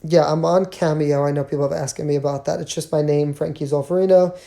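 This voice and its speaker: Singer on a casual fan stream: raspy, gravelly and tired, warm low tone, filler-heavy chat. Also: female voice, fairly even intonation, fast. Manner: chatty, many fillers and laughs. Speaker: singer live-streaming to fans. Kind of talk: casual live-stream chat answering viewer questions. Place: bedroom.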